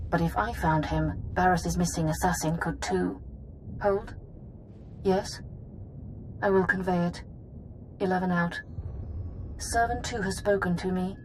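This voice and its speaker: A woman's voice: lowish voice, slight background noise, close to an everyday voice, rough recording. A woman voicing a solemn servant of a secretive order in a video game, speaking in a clipped, formal, detached way.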